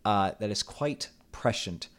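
A man's voice: fairly low pitch, expressive, measured pace, a bit bright. A man co-hosting a casual podcast, introducing the topic and teasing his younger brother. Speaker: podcast co-host. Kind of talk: casual comedic chat.

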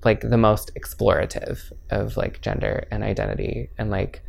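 A young man in his twenties with a low, gravelly voice and some vocal fry. He talks in a loose, chatty way, full of filler words, and sounds open and easygoing as he answers interview questions. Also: little pitch movement, normal speed, darkish tone. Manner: candid and easygoing. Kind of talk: casual personal interview.

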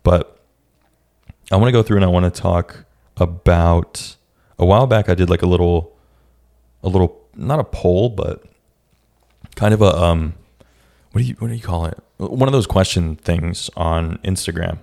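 A young man hosting his own podcast, talking in a casual, reflective way with a raspy voice and many restarts. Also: low voice, normal speed, long pauses, darkish tone. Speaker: podcast host. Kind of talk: casual solo podcast talk.

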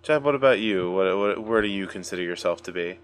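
A man's voice: sits low, animated, brisk, smooth. An American man hosting a casual podcast chat, with playful introductions and loose, thinking-aloud questions. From United States. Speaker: podcast host.

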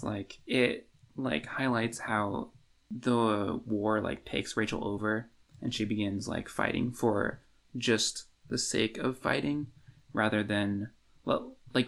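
A man's voice: medium pitch, gravelly. A man co-hosting a podcast in a casual book discussion, informal and hedging as he thinks aloud. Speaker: podcast co-host.

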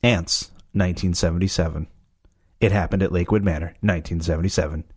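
A man hosting a casual movie watch-along, his voice scratchy and raspy from a cough, making sarcastic jokes as he watches. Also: low voice, steady tone, fast talker. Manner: joking, sarcastic. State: has a cough. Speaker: host reviewing a movie.

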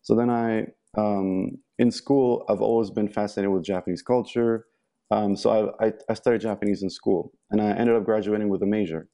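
A man's voice: lowish voice, fairly even intonation, normal speed, vocal fry, dark tone. A young man from Egypt, a podcast guest talking casually with many ums and restarts. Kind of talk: casual interview talk.

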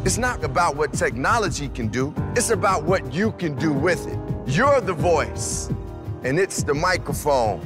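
A man delivering a motivational, scripted commercial voice-over with deliberate phrasing. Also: fairly high pitch, very animated. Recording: music behind voice.

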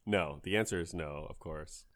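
A man in his thirties with a low voice co-hosts a podcast. He speaks in a casual, conversational way about games, as if among friends. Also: moderate pace, a bit bright. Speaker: podcast co-host.

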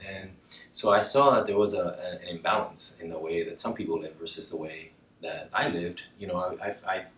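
A man's voice: low voice, brisk, a bit bright, far from the mic. A man from Colombia talking reflectively about himself as a podcast guest. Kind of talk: reflective personal interview talk. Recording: roomy echo, away from the mic.

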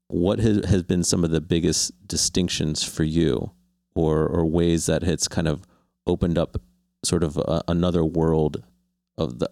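A man hosting a conversational interview, putting his questions in a thoughtful, halting, rambling way. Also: sits low, gravelly, darkish tone, no echo, studio quality. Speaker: interviewer, host. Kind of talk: conversational long-form interview.

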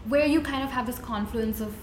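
A woman's voice: on the high side, brisk. A woman hosting a live interview, asking questions and chiming in with agreement. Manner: engaged, frequent agreeing backchannels. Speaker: host. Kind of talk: interviewing, casual chat. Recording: roomy live recording, faint noise.

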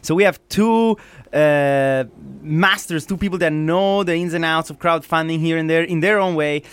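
A man's voice: a little high, normal speed, fairly bright tone, clean tone. A man hosting an interview, reacting eagerly and praising his guests freely. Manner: enthusiastic, effusive reactions. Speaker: podcast host.

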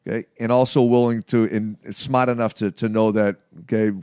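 A man in his late fifties speaking conversationally in an interview, in a halting, emphatic way with frequent check-ins. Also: fairly low pitch, level tone, dark tone, clear, resonant tone, no echo, clean studio sound. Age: late 50s. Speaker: martial arts practitioner being interviewed.

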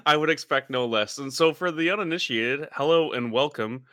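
A man hosting a podcast, chatting casually with an old friend and teasing him between laughs. His voice is bright and forward, and the sound is close and dry with no room around it. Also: on the high side, normal speed, dry recording. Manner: joking, teasing, laughs often. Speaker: podcast host. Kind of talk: casual chat.

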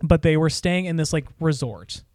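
Casual talker, male, slightly high voice, chatty storyteller, dry close recording. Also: animated, moderate pace, darkish tone, no echo. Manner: chatty, mimics TV host voices in quotes. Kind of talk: casual chat.

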